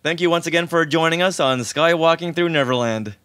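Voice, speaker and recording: A man's voice: fairly high pitch, brisk, very noisy. A man co-hosting a podcast in casual conversation. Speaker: podcast co-host.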